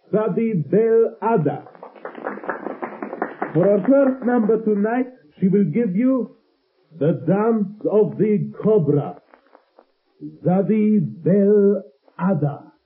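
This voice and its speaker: A formal club emcee projects in a high voice at a measured pace. The old radio sound is thin and narrow. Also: male voice. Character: formal nightclub master of ceremonies presenting acts. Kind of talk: formal stage announcing in 1930s radio drama. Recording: narrow-band old radio, faint noise.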